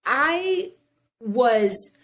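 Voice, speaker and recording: Animated woman telling a story. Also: on the high side, extremely slow. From Haiti. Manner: animated storytelling, many "you know" fillers. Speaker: guest. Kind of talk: casual interview talk.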